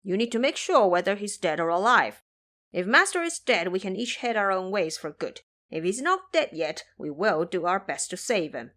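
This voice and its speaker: A grumbling, blustering pig disciple from an audio drama, put on by a woman. He is a blunt fool who complains freely. His pitch moves about in a lively way. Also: moderate pace. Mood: matter-of-fact. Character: Ba Jie, foolish, grumbling male pig disciple.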